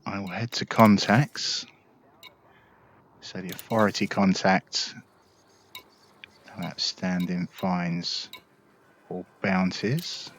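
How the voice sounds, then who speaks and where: A man's voice: low voice, level tone, takes it slowly, pauses often, a bit bright, slight background noise. A man hosting a gameplay video, explaining things informally in casual commentary. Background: spaceship game sounds.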